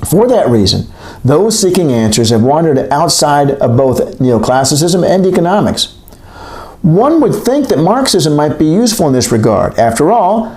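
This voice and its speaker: A man reads an academic text aloud as scripted narration, in a measured, emphatic, lecturing way. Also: mid-range voice, darkish tone. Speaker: audiobook-style reader of an academic text. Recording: roomy, echoing voice recording.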